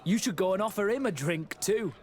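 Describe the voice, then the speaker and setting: An actor playing a nervous young soldier who speaks hesitantly and deferentially in a video game. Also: male voice, sits high, expressive, brisk. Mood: confiding, uneasy. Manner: hesitant, flustered, stammering. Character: nervous, deferential young male army recruit. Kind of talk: video game character acting.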